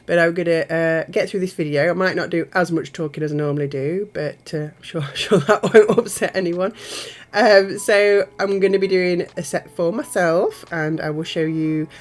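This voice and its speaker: Female tutorial host, low and nasal, stuffed up with a cold, chatty with giggly asides. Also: moderate pace. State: has a cold. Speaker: nail art channel host. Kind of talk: casual tutorial narration.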